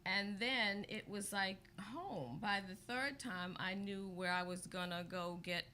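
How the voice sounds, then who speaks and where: A woman's voice: mid-range voice, a little slow, pushed and bright, slight background noise. A woman from Baltimore telling her story as an interview guest, with light laughs. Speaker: guest, charity founder. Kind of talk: interview answers.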